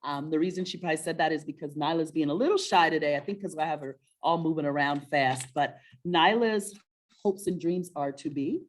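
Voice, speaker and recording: Low-voiced, encouraging woman hosting story time. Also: a little fast. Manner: encouraging, often asks questions. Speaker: host of a children's story-time webinar. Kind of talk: casual conversational presenting to and with a child.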